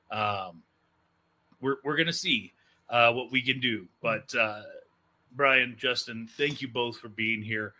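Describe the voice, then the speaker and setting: A man's voice: medium pitch, sharp tone. A man hosting an interview show in casual chat, with an informal, joking manner. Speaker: host of an audio drama interview show.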